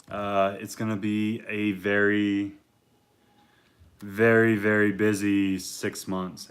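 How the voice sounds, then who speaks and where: Deep-voiced man, rambling vlog chat. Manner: relaxed and rambling, many fillers. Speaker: reptile vlogger. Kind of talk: casual talk to camera.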